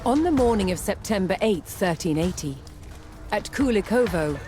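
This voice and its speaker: A woman reading scripted historical documentary narration in a measured, dramatic way with deliberate pauses. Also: medium pitch, animated. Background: music.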